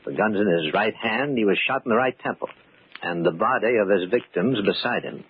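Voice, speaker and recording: An actor playing a suspicious, evasive business partner in an old-time radio mystery, speaking in a formal, insistent, clipped way. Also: male voice, lowish voice, expressive, fairly quick, slightly rough. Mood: smooth reasoning. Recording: muffled, noisy old radio recording.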